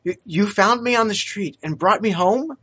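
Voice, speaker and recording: An earnest young gentleman from a storybook, polite and given to small stammers, as a man reads him. The voice lifts a little higher than plain speech and stays bright and forceful. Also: moderate pace, breathy edge. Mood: friendly.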